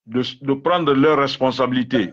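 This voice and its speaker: A man's voice: medium pitch, normal speed. A man in a live political phone conversation, heard through a dull, lo-fi call.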